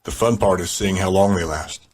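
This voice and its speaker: A man's voice: deep voice, moderate pace, darkish tone. A man playing a sadistic gang boss and interrogator in an audio drama, mocking, menacing and controlled. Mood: smug, amused.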